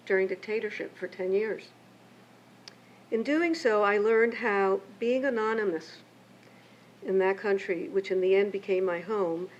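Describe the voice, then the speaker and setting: An older woman, raised between Mexico and the United States, reads a prepared keynote speech in a measured, reflective way from her pages. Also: medium pitch. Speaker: keynote speaker and memoirist. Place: lecture event. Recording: muffled lo-fi with faint hiss.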